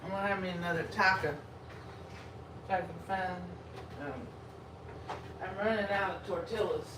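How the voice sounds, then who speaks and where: A woman's voice: on the low side, fairly even intonation, a little slow. A woman from Texas with a Texan accent, chatting frankly and rambling while she cooks and eats during a live stream from her home kitchen. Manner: rambling and frank, talks while eating and cooking. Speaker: food vlogger. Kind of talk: casual live-stream chat while cooking. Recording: roomy, distant from the mic, noisy.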